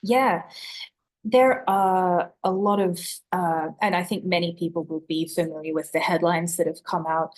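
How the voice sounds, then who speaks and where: Female expert panelist, slightly low voice, measured and articulate, unscripted conference explanation. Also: fairly even intonation.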